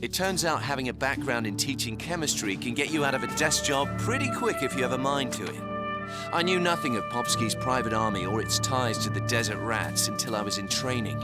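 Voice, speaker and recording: A man acting a dry-witted soldier reading his journal. His mid-pitched voice is understated, under prominent game music. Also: expressive, brisk, natural voice. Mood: dry, reflective. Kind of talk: scripted first-person narration.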